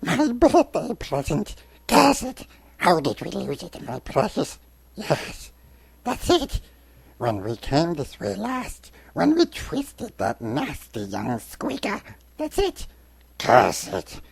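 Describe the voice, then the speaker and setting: Paranoid cave creature, raspy hiss, slow drawn-out muttering, cursing and whining, broken grammar, storybook drama. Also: male voice, fairly high pitch, wildly swooping pitch, no room sound, pitched above the performer's own voice, full character voice. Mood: anguished rage. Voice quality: hissing, raspy, creaky. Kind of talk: dramatic audiobook reading.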